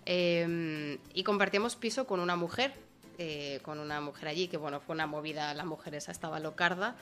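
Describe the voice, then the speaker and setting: Woman, medium pitch, chatty storyteller, video call, faint music behind. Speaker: host. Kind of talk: casual chat, storytelling.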